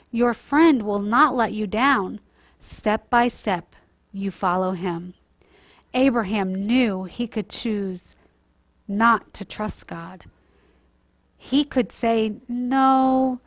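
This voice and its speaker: A woman telling a Bible story to children, explaining it simply and deliberately as a church storyteller. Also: a little high, dry recording. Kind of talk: read-aloud children's story with informal moral teaching.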